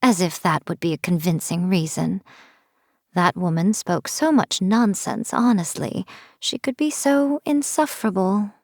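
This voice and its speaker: A dry, close recording of a sly fox-spirit shrine maiden from a video game. She speaks at a medium pitch with theatrical self-assurance. Her delivery is playful and haughty, always teasing. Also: unhurried, darkish tone, no room sound. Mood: wry, amused. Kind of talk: scripted video game character voice lines.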